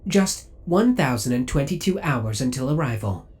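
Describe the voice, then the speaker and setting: A man's voice: medium pitch, big pitch swings, normal speed, a little mellow, lightly acted. A man playing a possessive, coolly obsessive male spaceship AI in an ASMR audio roleplay, speaking with formal, precise, robotic politeness. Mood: resigned, jealous.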